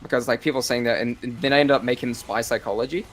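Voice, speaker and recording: A man's voice: medium pitch, steady tone, fast, a bit bright. A man with a New Zealand accent, chatting casually on a live gaming stream, rambling and restarting his sentences. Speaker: streamer and video creator.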